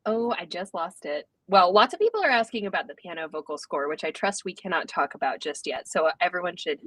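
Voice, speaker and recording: A woman hosts a live Q&A in an upbeat, chatty way and reads out fan questions. Her voice is bright and forceful. The sound is dry, with no room echo. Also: on the low side, animated, a little fast. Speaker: host. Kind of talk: hosting a live Q&A.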